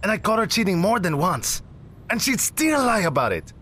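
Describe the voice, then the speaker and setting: Expressive, swooping young man. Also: high voice, moderate pace, a little hiss or hum. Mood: exasperated, venting. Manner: expressive, teasing, emphatic. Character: friendly, dramatic cafe owner, loyal and exasperated best friend. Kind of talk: naturalistic audio drama acting.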